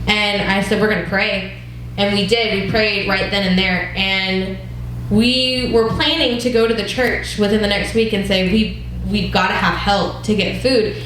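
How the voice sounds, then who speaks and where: A woman's voice: mid-range voice, fairly even intonation, unhurried, hardly any pauses, a bit bright, slight background noise. A woman in her thirties leading a church women's group, earnestly sharing a personal testimony in a conversational way, with frequent ums. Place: church hall. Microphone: wireless mic that cuts out. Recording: very echoey church hall.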